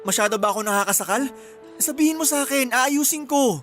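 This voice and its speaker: An actor playing an insecure, pleading young boyfriend in an earnest, emotional radio drama. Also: male voice, very high-pitched, fast, fairly bright tone, soft background music. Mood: desperate, pleading.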